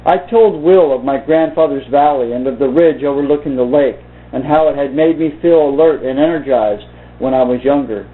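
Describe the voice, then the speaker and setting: A man's voice: mid-range voice, moderate pace. Muffled, phone-like man reading aloud. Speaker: video host reading from a book. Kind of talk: slow reading aloud and informal commentary. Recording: muffled phone-like narrow-band sound.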